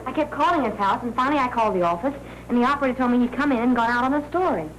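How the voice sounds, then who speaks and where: An actress playing an apologetic, eager young American cub reporter in a 1940s Hollywood film, gushing and naive. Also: female voice, on the high side, rapid-fire, a bit bright, close to an everyday voice. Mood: chatty, innocent. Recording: noisy old 1940s film soundtrack.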